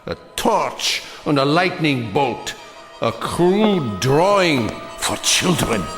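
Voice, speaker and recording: An old immigrant man, weary yet defiant, in a theatrical radio play. He speaks slowly with big swings of pitch and bitter emphasis, over music. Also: sing-song, fairly bright tone, full character voice. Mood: bitter challenge. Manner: slow, emphatic, bitterly rhetorical with repeated phrases. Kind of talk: theatrical radio drama acting.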